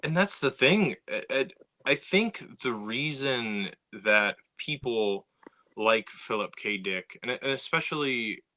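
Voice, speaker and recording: Bright-voiced man in lively podcast chat. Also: mid-range voice, slow pace. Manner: animated, many restarts and repeated words. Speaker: podcast co-host. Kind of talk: casual podcast discussion.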